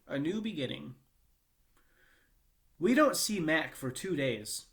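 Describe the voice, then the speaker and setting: A man giving an expressive read-aloud narration of a children's novel. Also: on the high side, takes it slowly.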